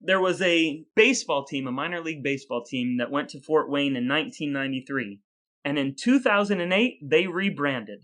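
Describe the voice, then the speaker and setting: A man's voice: a little high, fairly quick, a bit bright. A man co-hosting a casual podcast chat, playful, quizzing and joking with his co-host.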